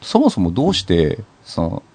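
A man's voice: sits low, huge pitch swings, moderate pace, a little mellow, slightly rough. A man hosting a casual interview, jokey and full of banter, echoing and riffing on what the guest says. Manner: jokey, echoes and riffs on guest's words. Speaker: host.

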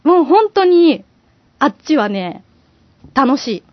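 A woman chatting casually as a podcast co-host, telling things with animated enthusiasm. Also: high-pitched, slow pace, no echo, lo-fi sound. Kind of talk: casual chat among friends.

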